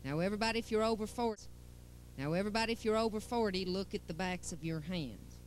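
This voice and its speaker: A woman's voice: mid-range voice, fast, a little hiss or hum. Playful woman storyteller. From Western North Carolina. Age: 40s. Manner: playful, addresses listeners directly. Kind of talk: folksy talk to an audience.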